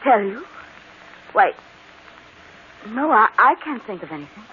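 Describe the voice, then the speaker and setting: A woman's voice: fairly high pitch, very animated, unhurried. An actress playing a nervous, evasive young woman with a hesitant manner in an old radio drama. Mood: evasive, flustered. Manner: hesitant, stammering. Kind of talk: 1940s radio acting. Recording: tinny, hissy old radio broadcast.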